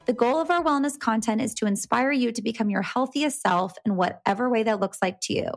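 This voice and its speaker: A woman's voice: fairly high pitch, brisk, creaky, soft background music. Enthusiastic, informal female podcast host. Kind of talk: chatty podcast interview.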